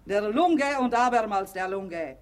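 An actress playing a maid disguised as a boastful quack doctor in a radio play, pompous, emphatic and dismissive. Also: female voice, mid-range voice, expressive, a little slow, natural voice. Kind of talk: German radio play acting.

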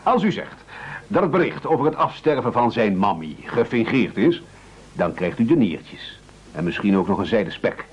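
A scheming leader of starving castaways in a radio play. His husky voice swings widely in pitch as he speaks with mock courtesy and pompous, rhetorical flourish. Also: very animated, normal speed, highs cut off. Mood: sly, bargaining.

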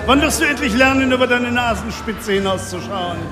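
A man's voice: very high-pitched, animated, a little fast. An actor playing a slimy, camp society man in a radio drama, with a mincing, sugary, condescending manner. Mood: oily mockery. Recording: party music behind the voice.

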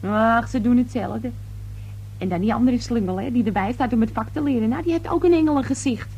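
An actress playing a gossipy, suspicious working-class household help in a radio play, coarse, chatty and easily indignant. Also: female voice, a little high, fairly quick, mellow, breathy edge, muffled recording. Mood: gossipy, knowing.

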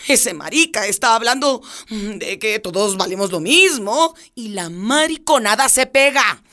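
A woman's voice: a little high, animated, measured pace, bright, forceful tone. An actress playing an outraged, bigoted churchgoing woman, indignant, preachy and sanctimonious, in a radio drama. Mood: alarmed, furious. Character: outraged, bigoted churchgoing lady, shrill and righteous.

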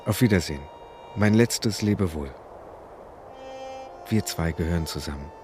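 A man's voice: low-pitched, moderate pace, lightly acted. An actor playing a fatalistic, tender fugitive gunman with a solemn delivery in a radio play. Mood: solemn farewell. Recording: music clearly behind the voice.

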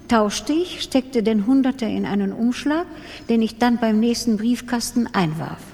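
A woman reads scripted text for a radio piece. Her voice sits fairly high and carries a long hall reverb. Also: a little mellow. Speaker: reader of texts in a radio production. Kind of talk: scripted literary reading.

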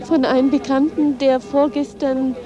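Woman with really high voice answering briefly, old outdoor tape with music behind. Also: little pitch movement, moderate pace, lo-fi sound. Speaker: interviewee waiting for returnees.

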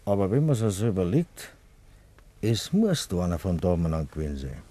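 A man's voice: low-pitched, big pitch swings, moderate pace, mellow. An actor playing a grumbling, insinuating village tradesman in a Bavarian dialect radio play. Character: grumbling, suspicious village tradesman spreading rumours.

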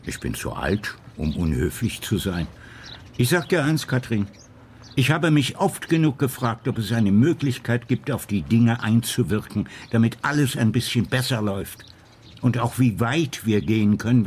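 Ein Schauspieler spricht in einem Hörspiel einen gebrechlichen, selbstsicheren alten Polizeichef im Ruhestand, der bedächtig argumentiert. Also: male voice, medium pitch, animated, moderate pace, darkish tone, a little hiss or hum, close to an everyday voice. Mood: self-assured, reflective. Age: older. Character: frail, self-assured old retired Dutch police chief. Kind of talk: radio play acting.

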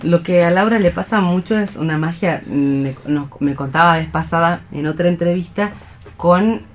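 A woman's voice: fairly low pitch, normal speed. A woman with an Argentine accent chatting casually as a co-host on a radio show.

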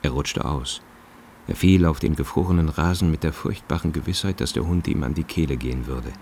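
A man's voice: very deep voice, a little fast, darkish tone, slight background noise. A man narrating a radio drama in a scripted, literary style.

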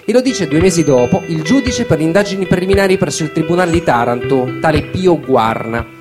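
A man's voice: on the high side, animated, fairly quick. A man delivering an emphatic theatrical monologue, built on incantatory repetition, as a stage narrator. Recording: loud acoustic guitar accompaniment. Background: plucked guitar music.

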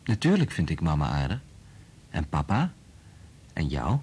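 A man playing a kind, patient scientist in a radio drama, speaking in a gentle, reassuring, informal way. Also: rumbling low, huge pitch swings, normal speed, husky, exaggerated voice.

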